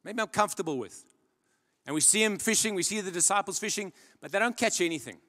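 A man's voice: lively intonation, quick pace. Young male preacher, echoing church hall. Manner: dramatic, builds to loud emphatic peaks then drops low. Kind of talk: sermon. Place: large church stage. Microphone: handheld mic. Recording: long church hall reverb.

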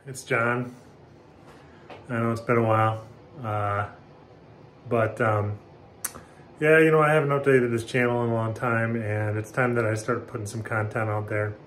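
American guy in his 40s chatting off the cuff, halting and informal, echoey bathroom with some noise behind. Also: mid-range voice, level tone, a bit bright. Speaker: video host, hobbyist speaker restorer. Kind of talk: casual unscripted vlog talk. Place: small bathroom indoors. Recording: echoey small room, background noise.

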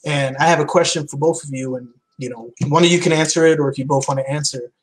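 A man's voice: on the high side, fairly even intonation, quick pace, rough recording. Earnest man on a headset. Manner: earnest, searching for words with fillers. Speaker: guest filmmaker. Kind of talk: casual video call chat. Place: home room with bookshelves.

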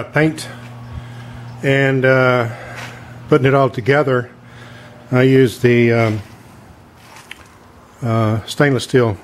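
A man's voice: mid-range voice, slight background noise. Middle-aged man rambling through a garage build. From Texas. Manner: rambling, plain-spoken, many fillers. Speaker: DIY maker. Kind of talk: casual how-to demonstration. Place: home garage workshop. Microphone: camera mic from a distance.

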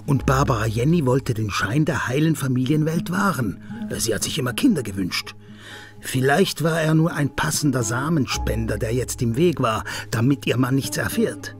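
A man acting as a police detective in a crime radio drama, intense and brooding. He questions tersely, with a mid-pitched voice that swings widely in intonation. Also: lively intonation, normal speed, music in the background, lightly acted. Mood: explaining, brooding. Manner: terse, interrogating.

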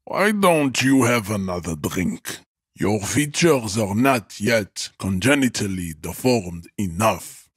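An actor voicing a huge, arrogant supremacist strongman in a video game, pompous and contemptuous, with deliberate enunciation and a husky voice. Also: on the low side, sing-song, dry recording, studio quality, heavily acted. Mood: haughty disdain. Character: huge, arrogant, pseudo-scientific supremacist strongman guarding a bridge. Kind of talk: video game character voice acting.

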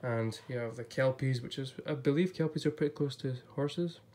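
A man's voice: medium pitch, normal speed, warm tone, a little hiss or hum. A man, a little off-voice while getting over an illness, flipping through an illustrated book in a casual vlog, chatty and thinking aloud. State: voice a bit off from recent illness. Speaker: YouTuber reviewing a book. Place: indoors at a table before a blue backdrop.

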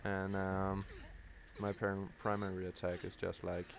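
Low, flat-voiced Danish man gaming. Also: creaky. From Denmark. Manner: tired, halting, many ums and restarts. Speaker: gamer commentating his play. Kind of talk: live let's play commentary. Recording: loud background noise over the voice.

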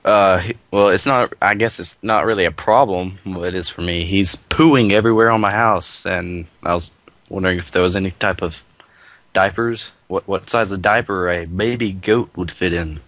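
A man making a prank phone call in a deadpan, earnest-sounding manner over an internet voice call. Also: low voice, moderate pace, no room sound. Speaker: prank caller.

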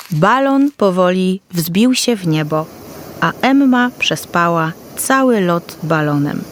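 A woman storyteller reading a scripted Christmas fairy tale for children. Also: lowish voice.

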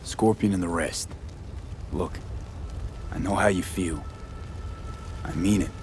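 A man's voice: sits low, slow pace, a little mellow, faint music behind. A man playing a tough, pragmatic and reassuring young mercenary in a video game. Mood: calm, resolute. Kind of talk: dramatic video game voice acting.

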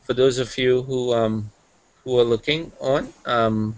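A man's voice: medium pitch, fairly even intonation, measured pace, low-quality recording. A middle-aged man co-hosting on a video call, full of praise and often repeating words as he talks. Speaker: co-host. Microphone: webcam on a video call.

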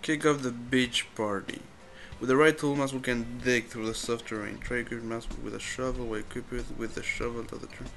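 A man gives casual let's-play commentary, halting and thinking aloud as he plays. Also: mid-range voice, steady tone, vocal fry, a bit bright. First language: Spanish. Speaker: gamer commentating his play.

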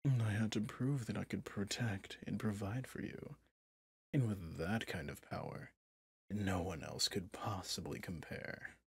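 A man playing a possessive villain prince, a smug and coaxing new husband, in an intimate ASMR roleplay, with a husky, breathy voice and a seductively tender menace. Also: on the low side, unhurried, no echo, studio quality, exaggerated voice. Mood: smug, teasing.

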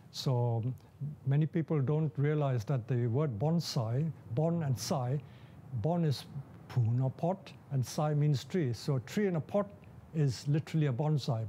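A man in his seventies, born and raised in India, explaining his life and craft at a measured pace in a documentary interview. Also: a little high, mellow, a little hiss or hum. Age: 70s. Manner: measured and explanatory, with occasional fillers. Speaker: bonsai nursery owner. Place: large glass greenhouse full of plants.

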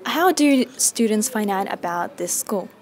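A young woman reporter, a native Russian speaker, presenting a report and interviewing people with clear, upbeat questions. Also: medium pitch, expressive, a little slow. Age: young adult. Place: classroom indoors. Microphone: handheld mic.

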